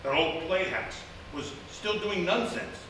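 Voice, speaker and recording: An actor playing a cocky, take-charge small-town police chief in a live comedic radio play. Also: male voice, sits high, animated, a little slow. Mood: confident, scheming. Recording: echoey live theatre from far off, faint noise.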